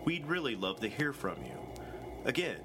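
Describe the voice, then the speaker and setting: A man reading a scripted podcast outro in a measured, formal announcer manner. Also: medium pitch, big pitch swings. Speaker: show announcer. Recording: loud background noise.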